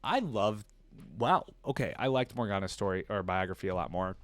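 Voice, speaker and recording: A man's voice: fairly low pitch, animated, a little fast, fairly bright tone, no echo. A man hosting a reaction, switching between reading a story aloud and informal commentary where he thinks aloud. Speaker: reaction video host.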